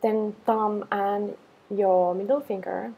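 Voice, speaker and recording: A woman teacher leading a guided meditation in a calm, unhurried manner, giving simple instructions with gentle pauses. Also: medium pitch, vocal fry, slightly rough, low-quality recording.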